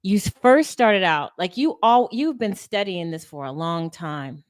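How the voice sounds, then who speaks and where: A woman hosting a livestream conversation, blunt and animated, cutting in to push back on her guest. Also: mid-range voice, unhurried, dry recording. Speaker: livestream host.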